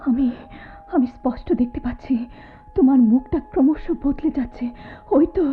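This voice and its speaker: A woman's voice: high voice, lightly acted. An actress playing an anguished, bitter young woman in an emotive, theatrical style of radio drama. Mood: bitter, tearful. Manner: emotive, dramatic, halting repetitions. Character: anguished, bitter young woman, disfigured, speaking to her former love. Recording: tinny, phone-like old radio drama recording, noisy.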